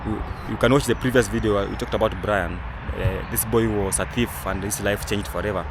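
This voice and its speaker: A man's voice: lowish voice, fairly even intonation, brisk. A young man, a travel vlogger, giving casual commentary outdoors, rambling with many fillers and restarts. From Kenya. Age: young adult. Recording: outdoor recording with traffic noise.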